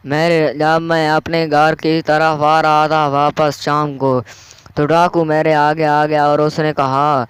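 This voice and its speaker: Guy doing a humble honest rickshaw driver in a cartoon, kinda high super clear voice, dry sound. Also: little pitch movement, takes it slowly, dry recording. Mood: troubled. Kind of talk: cartoon story dialogue.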